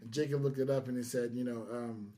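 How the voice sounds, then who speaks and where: A man hosts a podcast in a casual, conversational way. His voice sits at a middle pitch for a man, and he talks as if among friends at a table. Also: fairly even intonation, quick pace, warm tone. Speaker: podcast host.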